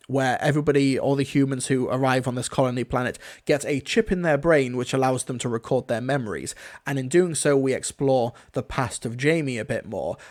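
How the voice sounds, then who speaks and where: Enthusiastic male reviewer, medium-pitched voice. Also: a little mellow. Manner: enthusiastic, rambling. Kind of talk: unscripted livestream review.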